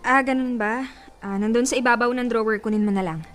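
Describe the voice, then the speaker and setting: Woman playing a heartbroken high-voiced girlfriend. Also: steady tone, a little fast, faint music behind, close to an everyday voice. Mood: worried. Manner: soft, pleading, tearful. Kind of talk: radio drama acting.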